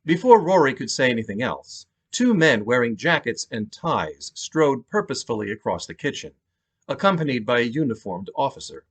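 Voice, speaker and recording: An American man narrates his own fiction aloud as an author. His pitch is middling but moves widely, and his voice has a slightly rough, husky grain. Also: very animated, normal speed. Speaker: author reading own novel. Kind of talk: scripted narration, reading aloud. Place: home basement.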